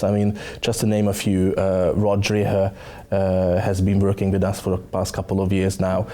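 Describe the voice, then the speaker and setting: A man in his thirties with a Hungarian accent, a think tank director being interviewed, speaking haltingly with many fillers on a lapel mic in a studio. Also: low-pitched, monotone, mellow. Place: studio set with dark curtains.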